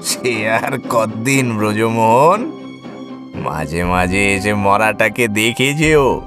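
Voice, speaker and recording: A man's voice: lowish voice, lively intonation, takes it slowly. A man playing a sly, callous priest in a horror audio drama, an accomplice to murder who talks in a cold drawl. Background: music.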